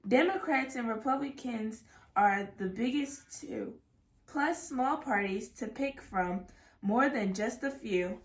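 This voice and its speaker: A woman reading a rhyming children's picture book aloud in a measured, careful way. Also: on the high side. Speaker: read-aloud reader. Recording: echoey room.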